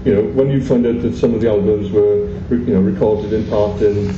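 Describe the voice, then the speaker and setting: Halting male interviewer, distant and echoey. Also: on the low side, steady tone. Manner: hesitant, many restarts and fillers. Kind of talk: radio-style interview. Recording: echoey, distant, muffled, loud room noise.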